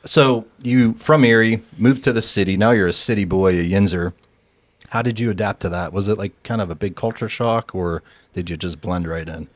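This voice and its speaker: A man's voice: lowish voice, moderate pace, no room sound. A man hosting a podcast, asking his guest questions in an easygoing, joking way. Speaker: podcast host. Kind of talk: casual interview.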